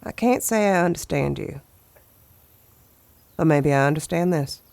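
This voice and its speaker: An actress playing a wry, bruised but tender, plain-spoken woman in an audio drama, with a halting way of talking. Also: sits low, animated, creaky, a little mellow, heavily acted. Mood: tender, resigned.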